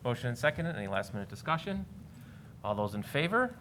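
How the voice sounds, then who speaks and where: Board chair running votes in a noisy room. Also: male voice, mid-range voice, very animated, fairly bright tone. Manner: brisk procedural, with light asides. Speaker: school board president chairing the meeting. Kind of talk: chairing a formal meeting.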